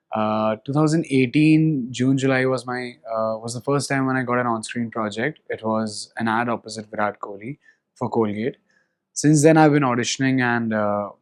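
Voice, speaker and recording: A young Indian man with an Indian English accent, an actor being interviewed, chatting animatedly with frequent laughs and fillers. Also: mid-range voice. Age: young adult. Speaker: actor guest. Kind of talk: casual interview, mixing English and Hindi.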